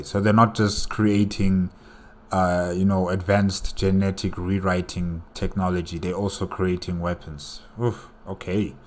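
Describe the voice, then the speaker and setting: A man with a low voice hosts a casual reaction video. He speaks off the cuff in a halting way, circling back over phrases as he works out his thoughts. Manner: halting, thinking aloud, repeats phrases. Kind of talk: casual unscripted reaction commentary.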